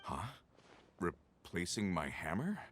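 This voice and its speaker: A man's voice: deep voice, very animated, takes it slowly, a bit bright, a little hiss or hum, heavily acted. An actor playing a humble, world-weary working family man in a video game, talking in a plain, casual, earnest way. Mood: puzzled. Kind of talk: video game character acting.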